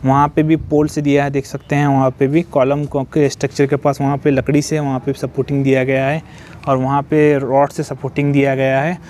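Man touring a site, flat voice. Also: mid-range voice, fairly quick, slight background noise. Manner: repetitive, explanatory, mixes English terms into Hindi. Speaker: civil construction site vlogger. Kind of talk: walkthrough explaining a construction site. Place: outdoor construction site. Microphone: phone held while filming.

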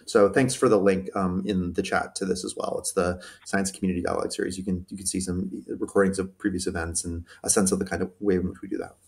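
A research group member talking casually in an online Q&A, rambling with many restarts. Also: male voice, deep voice, little pitch movement, fast, hardly any pauses.

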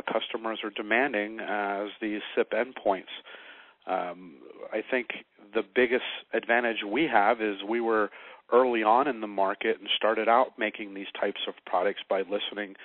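An American man answering interview questions as a sales manager, measured and pausing often as he explains. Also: on the low side, no echo. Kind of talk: interview answers about products. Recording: narrow muffled phone line.

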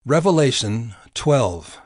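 A male narrator with a medium-pitched voice reads scripture dramatically. His storytelling is solemn and deliberate, with loud music behind him. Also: very animated.

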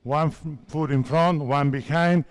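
A basketball coach from Spain in his fifties, a native Spanish speaker, talks players through drills in a big indoor sports hall. His voice sits a little high, and he speaks slowly and deliberately in short, instructive commands. Basketballs bounce in the background. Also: clean tone. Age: 50s. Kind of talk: coaching clinic on court.

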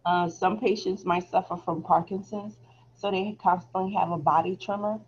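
A soft-spoken woman teaching a relaxed phlebotomy review lecture over a video call, chatty and laughing easily. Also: on the low side, moderate pace, a little hiss or hum. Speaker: phlebotomy instructor. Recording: slightly dull video call audio.

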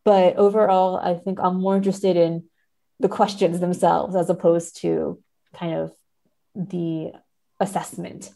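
Woman academic guest for a podcast interview, lowish mellow voice, thoughtful and careful in explanation. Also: little pitch movement, unhurried, creaky. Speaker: podcast guest, literary scholar.

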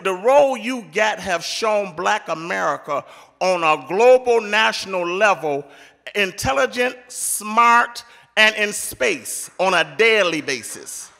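A middle-aged man tells a story from a church podium through a handheld mic. He speaks slowly and brightly, quotes each person in turn, and trips over a word here and there. His voice rings through a large sanctuary with faint music behind him. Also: high voice, creaky, long reverb tail. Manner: retelling a story with many quoted lines, some stammering. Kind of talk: storytelling speech. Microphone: handheld microphone at a podium.

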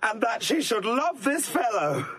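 A man's voice: very high-pitched, very animated, a little slow, sharp tone, full character voice. A man playing a vain, foolish young prince in a classical Shakespearean comedy scene, petulant and pompous. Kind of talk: classical Shakespearean comic audio drama acting.

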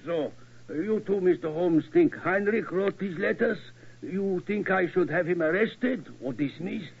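An actor playing a fussy, officious German gentleman in a 1940s radio drama, with a German accent and stiffly formal phrasing. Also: sits high, unhurried, creaky, breathy edge, full character voice. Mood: anxious. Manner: formal, stiffly polite, inverted word order. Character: fussy, officious German father and tower guide. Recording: scratchy, tinny 1940s radio recording.

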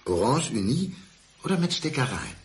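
A man's voice: medium pitch, wildly swooping pitch, moderate pace, husky, exaggerated voice. An actor playing a brooding, deceitful, despairing man in a radio crime drama, speaking with intense deliberation. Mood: probing, intense. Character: brooding, deceitful man feigning blindness, despairing, country-dwelling.

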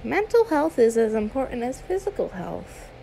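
Casting: actress as young female YouTuber for audio roleplay, higher-pitched with vocal fry, friendly, confiding, hesitant. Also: very animated, moderate pace, dark tone, slight background noise, rough recording. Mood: confiding, earnest. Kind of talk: ASMR roleplay, conversational acting.

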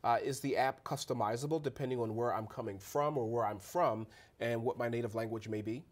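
Brisk, polished male TV host in his forties, smooth studio delivery on a lapel mic. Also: medium pitch. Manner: polished, smooth, leading questions. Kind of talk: studio interview. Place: TV news studio.

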